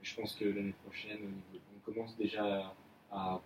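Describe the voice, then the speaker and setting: A man's voice: on the low side, level tone, moderate pace, close to an everyday voice. A young man playing an earnest employee in a mock job appraisal, speaking hesitantly with many fillers and restarts. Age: young adult. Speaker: employee in an appraisal role-play. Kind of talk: amateur role-play of a job interview. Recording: echoey room, mic far off, faint noise.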